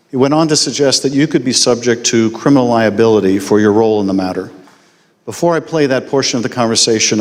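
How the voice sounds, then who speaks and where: A man questioning a witness at a formal hearing in a measured, deliberate way. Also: medium pitch. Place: large hearing room. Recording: long hall reverb.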